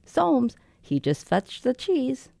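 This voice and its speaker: Lady playing a plaintive old widow, creaky soft-edged voice, really swoops around, radio drama. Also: high-pitched, very animated, takes it slowly, no room sound, studio quality, full character voice. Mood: distracted. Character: fretful, stubborn old widow fond of a mouse.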